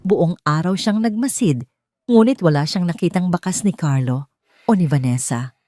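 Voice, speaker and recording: A woman with a Filipino accent reading a scripted love drama as a storyteller, with measured, dramatic delivery. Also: on the low side, expressive, mellow, no room sound. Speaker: storyteller, narrator.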